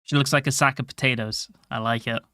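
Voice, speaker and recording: A man streaming a game, chatting casually to his viewers in a soft voice, rambling and swearing offhandedly. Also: medium pitch, steady tone, brisk, dry recording, clean studio sound. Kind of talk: casual livestream chat.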